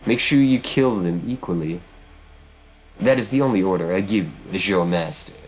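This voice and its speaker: A man's voice: deep voice, normal speed, poor audio. A man voicing a vengeful witch woman from hell, speaking in a theatrical, formal and taunting way. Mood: cold, commanding.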